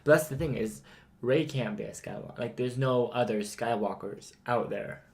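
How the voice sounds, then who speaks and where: A man's voice: mid-range voice, normal speed, creaky, a little mellow. Teenage guy joking on a podcast. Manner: jokey, playful, often talks over his co-host. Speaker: podcast co-host. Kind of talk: casual chat.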